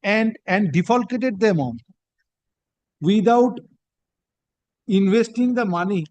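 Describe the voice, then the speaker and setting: High-pitched Indian judge, halting dictation. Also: male voice, expressive, darkish tone, no echo, dull audio. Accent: Indian, Bengali. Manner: halting, deliberate, phrase by phrase. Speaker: judge dictating a court order. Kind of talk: courtroom order dictation with spoken punctuation. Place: courtroom.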